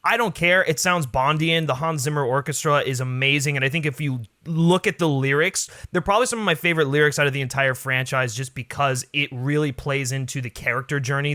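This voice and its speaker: A man's voice: fairly high pitch, fairly even intonation, fairly quick, bright, forceful tone, no echo, clean studio sound. A man chatting casually about films as a podcast guest, emphatic and opinionated, peppering his talk with filler words. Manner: emphatic, peppered with "like" and "you know". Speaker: podcast guest, film commentator. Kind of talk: casual opinionated film chat.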